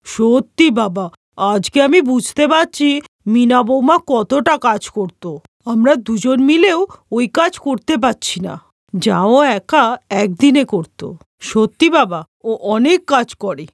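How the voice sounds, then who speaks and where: A woman's voice: fairly high pitch, animated, a little slow, no echo, clean studio sound, voice pushed down. A woman voicing an older, complaining mother-in-law in a moral-story cartoon, with a nagging, sighing delivery. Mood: weary, rueful.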